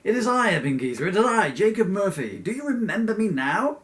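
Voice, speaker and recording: A man's voice: mid-range voice, animated, fairly quick, recorded from a distance, close to an everyday voice. A man voicing a wretched, indignant male ghost, insistent and exasperated, in a comic storybook reading. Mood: declamatory, insistent. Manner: archaic, insistent, increasingly exasperated.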